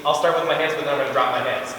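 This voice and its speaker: A man's voice: mid-range voice, fairly even intonation, rapid-fire, sharp tone. A male chorus teacher getting over a cold, proudly explaining his students' training to a concert audience. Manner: enthusiastic, proud, demonstrates by singing. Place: school concert hall. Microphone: far from the mic. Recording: echoey hall from far off, faint hiss.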